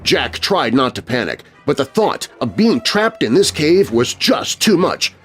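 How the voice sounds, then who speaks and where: A man's voice: mid-range voice, very animated, measured pace, a bit bright, slightly rough. A man narrating scripted scary stories for children in a suspenseful, theatrical manner. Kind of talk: dramatic scripted spooky storytelling for children. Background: music bed.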